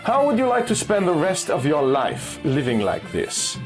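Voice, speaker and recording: A man's voice: a little high, huge pitch swings, normal speed, music in the background. A man voicing a cool, scheming middle-aged Austrian geologist in a pulp adventure story, speaking with casual persuasion. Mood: serious, coaxing.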